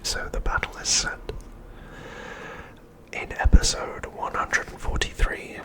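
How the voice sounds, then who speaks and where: A man speaks entirely in a breathy whisper, with almost no voiced tone at all. He narrates in ASMR style, reading a prepared recap in a hushed and measured way. The delivery stays soft and steady, like quiet storytelling. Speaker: ASMR host.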